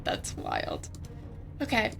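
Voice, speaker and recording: A woman's voice: slow, fairly bright tone. A woman playing a tabletop game, chatting casually and thinking aloud. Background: clearly audible background music.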